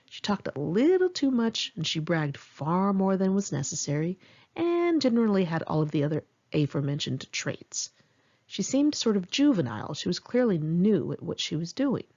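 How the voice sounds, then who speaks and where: Brief: middle-aged woman author, lowish voice, frank and wry memoir read, slightly dull home recording. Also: warm tone. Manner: candid, swearing, wry storytelling. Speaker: author reading her memoir. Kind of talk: one-take memoir audiobook reading. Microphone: home microphone.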